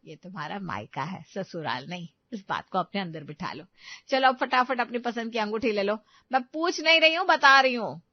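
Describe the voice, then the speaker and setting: A woman's voice: mid-range voice, sing-song, moderate pace, no echo, highs cut off. A woman voicing an affectionate, firm older mother-in-law in a family story, bossy but loving. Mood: firmly loving.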